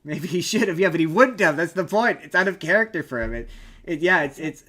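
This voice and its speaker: Higher-voiced man, emphatic podcast talker. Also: expressive, a little fast, pushed and bright. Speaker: podcast host. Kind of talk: casual movie discussion.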